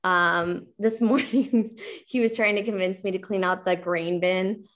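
A woman's voice: mid-range voice, steady tone, measured pace, a bit bright. A woman in her thirties chatting casually and enthusiastically as an interview guest and fitness coach on a video call. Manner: enthusiastic, conversational. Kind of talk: casual chat.

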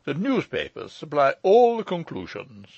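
A man's voice: fairly high pitch, wildly swooping pitch, moderate pace, a little mellow, dry recording, dull audio, pitched above the performer's own voice, exaggerated voice. A man voicing a pompous, fussy old uncle in an audiobook story, speaking in a formal, long-winded and pedantic way. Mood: cheerful, pedantic.

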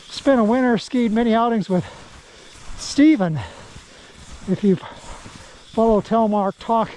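Man, high-ish voice, mellow tone, outdoor ski vlog, casual rambling walk-and-talk. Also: slight background noise, rough recording. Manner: rambling and unhurried, with restarts. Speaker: vlogger.

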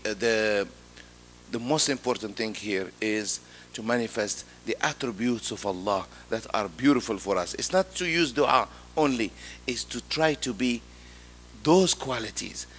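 A middle-aged man giving a Friday sermon as imam, emphatic, rising on key words and dropping low after, quoting Arabic quickly. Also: a bit bright. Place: large mosque prayer hall. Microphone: stand mic. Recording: long reverb of a large hall.

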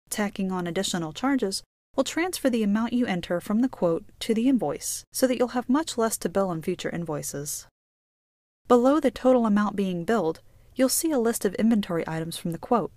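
A woman reading scripted instructional narration for a software tutorial in a measured, explanatory way. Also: mid-range voice, dry recording.

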